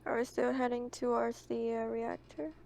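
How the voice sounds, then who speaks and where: A woman's voice: fairly high pitch, little pitch movement, normal speed, gravelly, darkish tone. A woman chatting casually as a player in a tabletop roleplaying game.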